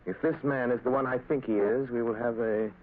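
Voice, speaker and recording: An actor playing a calm, mysterious gentleman crime-fighter in an old radio drama, speaking in a measured, confident way. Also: mid-range voice, lightly acted. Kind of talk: 1930s radio drama acting. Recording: tinny lo-fi old radio with faint hiss.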